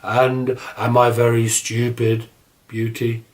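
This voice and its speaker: A man voicing a gentle, lovelorn beast in a storybook tale, plaintive and courteous. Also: medium pitch, monotone, slow pace, lightly acted. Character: gentle, sad, lovelorn male beast monster.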